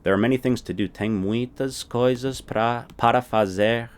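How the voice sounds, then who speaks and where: A man's voice: medium pitch, normal speed, smooth. A man teaching a recorded language lesson, explaining things step by step and often checking in with "right?".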